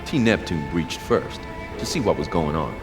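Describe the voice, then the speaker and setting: An actor playing a cool, persuasive net agent in a video game, with a measured, knowing manner. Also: male voice, deep voice, lively intonation, over music. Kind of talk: video game dramatic acting.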